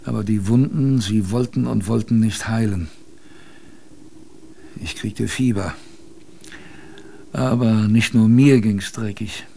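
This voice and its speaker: A man's voice: on the low side, slow pace, gravelly, long pauses, mellow, heavily acted. A man playing a former polar explorer who recounts past hardships in a wry, conversational way, as narrator of a German radio drama. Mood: wry, sombre. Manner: wry, colloquial, thoughtful.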